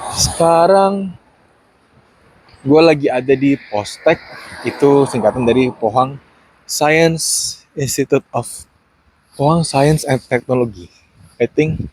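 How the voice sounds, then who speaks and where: A man's voice: mid-range voice, husky, no echo, rough recording. A young Indonesian man, a graduate student and podcast host, chatting casually and explaining things while walking outdoors on a campus. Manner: relaxed, explanatory, mixes in English words. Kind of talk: casual walking vlog chat. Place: outdoors on a university campus in autumn.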